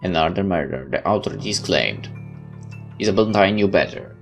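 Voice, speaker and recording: A man's voice: deep voice, animated, a little fast, gravelly. A man doing a let's play, reading a game's story text aloud with casual asides. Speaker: game streamer reading aloud. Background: game music.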